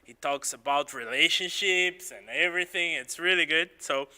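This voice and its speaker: A man's voice: a little high, moderate pace, pushed and bright, long reverb tail. A man hosting a live-streamed panel chat, upbeat and friendly, laughing often. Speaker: host.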